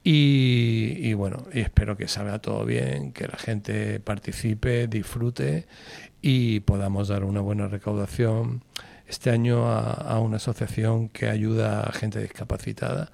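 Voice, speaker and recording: A gravelly-voiced man, chatting as a guest on a radio show. He talks loosely and swears freely. The sound is close and dry, with no room around it. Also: fairly low pitch, measured pace, dry recording. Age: 60s. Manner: swearing, storytelling, animated when joking. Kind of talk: casual radio chat.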